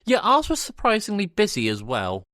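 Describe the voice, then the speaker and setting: Animated man, casual podcast talk. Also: high voice, moderate pace, dry recording, studio quality. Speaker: podcast host.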